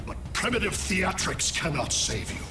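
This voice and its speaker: A man's voice: high-pitched, sing-song, normal speed. A man voicing an arrogant alien android tyrant in a video game, imperious and formal, with menace. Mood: menacing. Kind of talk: video game cutscene acting. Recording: echoing, distant, under very loud music.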